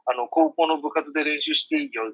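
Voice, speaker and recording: Male interview guest, breathy voice swinging animatedly in pitch, modest, polite and a little hesitant. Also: sing-song, a little fast, a bit bright, muffled recording. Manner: humble, hesitant, self-effacing. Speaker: radio guest, trampoline instructor. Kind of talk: casual polite interview talk.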